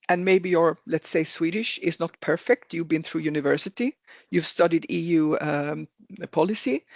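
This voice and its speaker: A man's voice: sits high, creaky, no room sound. A man giving advice in a webinar over a video call, talking earnestly, repeating himself and correcting his own words as he goes. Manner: repetitive, earnest, self-correcting. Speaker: webinar speaker on careers. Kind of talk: explanatory webinar talk. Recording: video call with dry sound.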